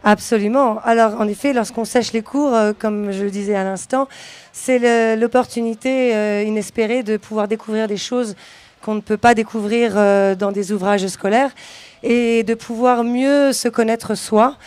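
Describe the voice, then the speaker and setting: Hesitant woman guest, bright higher voice. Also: steady tone, normal speed, no room sound. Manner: fluent but hesitant, many fillers and restarts. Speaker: founder of an outdoor school, guest. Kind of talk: radio interview, switching between English and French. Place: outdoor event.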